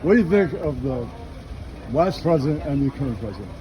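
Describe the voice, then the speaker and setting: A man's voice: medium pitch, sing-song, a little fast, a crowd in the background. A man doing a casual street interview as the interviewer, asking blunt questions one after another. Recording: noisy outdoor urban street with traffic.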